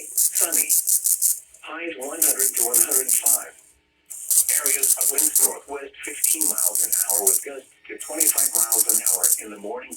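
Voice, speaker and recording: A man's voice: mid-range voice, pushed and bright, recorded from a distance, a little hiss or hum, low-quality recording. A man reading a scripted weather bulletin in a formal, automated-sounding way over a weather radio broadcast. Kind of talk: scripted weather forecast and test announcement.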